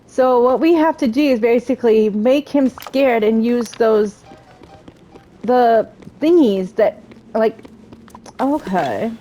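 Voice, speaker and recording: Unhurried woman doing game commentary. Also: fairly high pitch, warm tone, a little hiss or hum, poor audio. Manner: thinks aloud, chatty, exclaims at discoveries. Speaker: gaming video host. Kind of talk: casual let's play commentary, reading quest dialogue aloud. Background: video game music.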